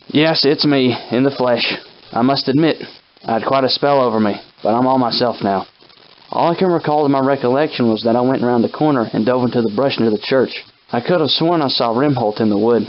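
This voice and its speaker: Guy doing a folksy old timey soldier sidekick for a video game, mid pitched, plucky but rattled, kinda muffled lofi audio. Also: a little hiss or hum, natural voice. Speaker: game character companion. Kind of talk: scripted video game character acting, old-time dialect.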